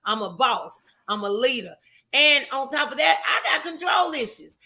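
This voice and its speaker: A woman's voice: sits high, expressive, moderate pace, sharp tone. A woman hosting a casual morning show, speaking in an emphatic, motivational and folksy, familiar way. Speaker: talk show host.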